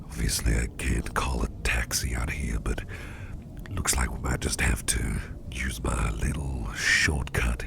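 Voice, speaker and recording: A very low, heavily creaky whisper from a doting, protective gentleman boss acted by a man in an ASMR roleplay. He is soothing and a little hesitant, repeating gentle reassurances. There is clear noise and ambience behind him. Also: flat delivery, a little slow, heavily acted. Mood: soothing, concerned. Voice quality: breathy, gravelly whisper. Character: caring, protective male boss, gentlemanly businessman, soft-spoken and doting. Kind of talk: ASMR roleplay, intimate personal attention.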